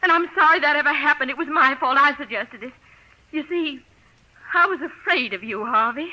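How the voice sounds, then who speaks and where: An actress playing an anxious but quietly resolute unhappy wife in an old radio drama, with hesitant, earnest phrasing. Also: female voice, sits high, normal speed. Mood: resigned, resolute. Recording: dull old radio broadcast recording.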